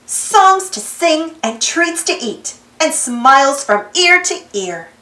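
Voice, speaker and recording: A woman reads a children's storybook aloud at an unhurried pace. Her high voice swoops expressively in a singsong way. The room adds some echo, with music playing behind her. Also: big pitch swings, sharp tone. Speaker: storybook reader, channel host.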